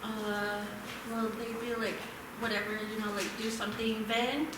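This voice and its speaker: A woman's voice: fairly high pitch, little pitch movement, moderate pace, background noise. A woman from the United States, an audience volunteer chatting easily about growing up speaking two languages. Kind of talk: casual chat on stage. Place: small theater, live audience. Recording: echoey theater, distant mic.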